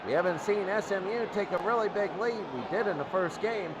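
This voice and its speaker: A roaring crowd and heavy noise surround a man doing football play-by-play. His voice is high and excitable, and he repeats phrases for emphasis as he follows the action. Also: fairly quick. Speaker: play-by-play announcer. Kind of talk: American football play-by-play commentary. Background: roaring stadium crowd.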